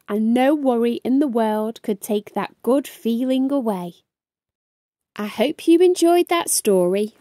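A woman reading a children's picture book aloud in an expressive, careful storytelling manner. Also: on the high side, no echo, clean studio sound. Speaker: storytime reader.

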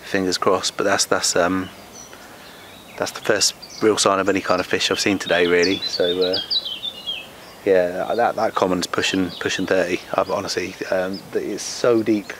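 A man's voice: sits low, little pitch movement, moderate pace, a bit bright. A man chatting casually and informally to camera as a fishing vlogger. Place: outdoors on a wooded lake bank. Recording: outdoor nature noise behind voice.

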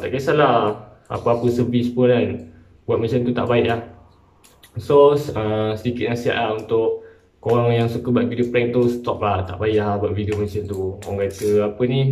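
A man's voice: mid-range voice, a little mellow. Echoey young Malaysian man talking casually. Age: young adult. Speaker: vlogger. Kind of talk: casual reaction commentary to camera. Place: small bare-walled room indoors. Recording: echoey room, distant microphone.

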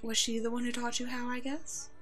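A woman playing a kind, protective werewolf in an ASMR roleplay drama, speaking gently and reassuringly as if to a child. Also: a little high, flat delivery, brisk, soft background music, natural voice. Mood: warm, gentle. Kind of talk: ASMR roleplay audio drama, one-sided dialogue.

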